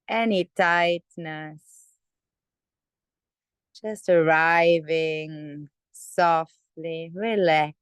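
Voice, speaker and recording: A woman leading a guided meditation in a gentle, unhurried manner with many pauses. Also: sits low, smooth, no room sound. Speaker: meditation teacher. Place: online video call session.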